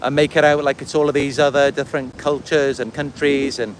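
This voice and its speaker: A man from Wales with a Welsh accent, talking casually and a little haltingly in a rambling livestream monologue. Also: medium pitch, flat delivery, slight background noise, low-quality recording. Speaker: livestream host and content creator. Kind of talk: casual livestream monologue, answering viewer comments.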